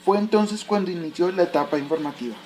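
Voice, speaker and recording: Man playing a high-voiced father teaching history. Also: fast talker, a little mellow, natural voice. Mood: explanatory. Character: patient father teaching his son history. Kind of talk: scripted educational radio drama.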